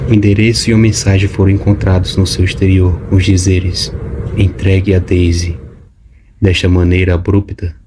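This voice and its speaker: A man narrating an audiobook story in a measured, even reading. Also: deep voice, background noise. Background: background music.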